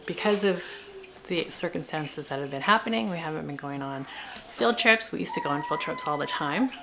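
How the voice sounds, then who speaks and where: A middle-aged woman chats casually and reflectively for a vlog, halting as she thinks aloud in an informal way. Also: deep voice, animated, very noisy. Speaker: homeschooling parent and video blogger.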